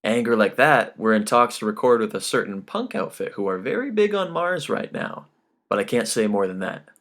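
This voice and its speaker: A man reading an audiobook, playing a smooth-talking, chatty male record label man with a glib sales manner. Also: fairly low pitch, expressive, normal speed, fairly bright tone. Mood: confident pitch. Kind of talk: audiobook character dialogue.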